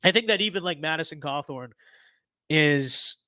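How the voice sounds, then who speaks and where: Young male streamer, hoarse voice, slightly high, rambling casual talk, dry close mic. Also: expressive, normal speed, bright, forceful tone, no echo. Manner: rambling, filler-heavy, many restarts. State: losing his voice. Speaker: livestream host. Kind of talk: casual livestream commentary.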